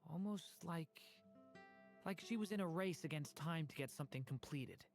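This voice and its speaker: A gentle young dragon boy from a video game, played by an actor. He speaks earnestly and reflectively in a medium-pitched youthful voice, with music underneath. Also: moderate pace, close to an everyday voice. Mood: wistful, gentle.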